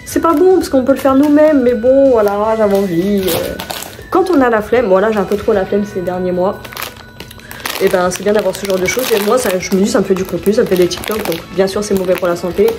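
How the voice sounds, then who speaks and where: A woman's voice: medium pitch, a little fast, over music. A woman vlogging casually at home, chatting and rambling through her shopping haul, often stopping to correct herself and laughing at her own slips.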